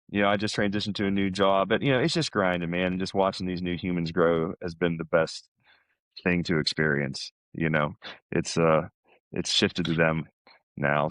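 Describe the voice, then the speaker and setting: An American man in middle age talks casually on a podcast. His voice sits on the low side and the sound is dry, with little room in it. He speaks informally, like a buddy catching up. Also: a bit bright, clear, resonant tone, dry recording. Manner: informal, buddy-like, peppers talk with "man" and "dude".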